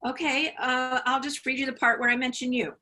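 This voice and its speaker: A woman's voice: sits high, little pitch movement, fairly quick, sharp tone. A woman playing a placating, self-absorbed mother with a career in law, in a staged reading of a play. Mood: eager, coaxing.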